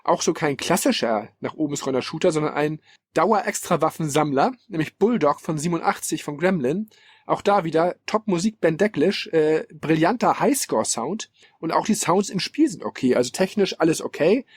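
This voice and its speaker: A man's voice: a little high, animated, normal speed, breathy edge, no echo. A man hosting a casual podcast, talking in an opinionated, emphatic, colloquial way. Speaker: podcast host presenting a ranking. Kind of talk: casual retro-gaming podcast talk.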